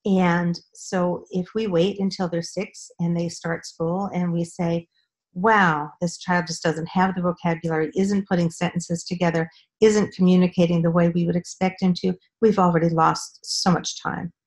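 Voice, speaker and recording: A middle-aged woman speaking as a guest expert on a video call from a room at home, explaining in a measured way with frequent ums. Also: fairly low pitch, level tone, a little mellow, rough recording. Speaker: speech-language pathologist guest.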